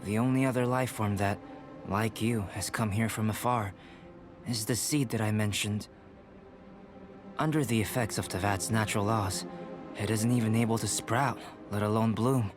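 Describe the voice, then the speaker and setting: An actor playing a calm, thoughtful young male alchemist in a video game, speaking in measured, formal, philosophical phrases. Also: lowish voice, natural voice. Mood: calm, musing. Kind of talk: scripted video game dialogue. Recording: music behind the voice.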